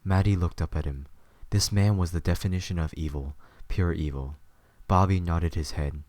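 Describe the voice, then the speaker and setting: A man's voice: deep voice, steady tone, normal speed, a little mellow, dry recording. A man narrating a scripted story for an audio drama.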